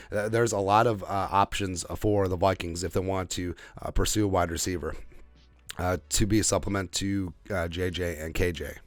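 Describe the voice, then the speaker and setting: Low-pitched man giving football podcast commentary. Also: no room sound. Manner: opinionated, emphatic, repeats phrases for emphasis. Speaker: sports podcast host. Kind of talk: off-the-cuff football draft commentary.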